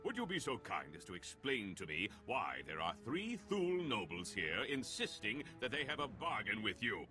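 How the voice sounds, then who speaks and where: A haughty nobleman from a video game. His low voice moves in big, animated swings with pompous formality, over prominent music. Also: normal speed, few pauses. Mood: indignant, sarcastic. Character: haughty, indignant nobleman of a ruling house.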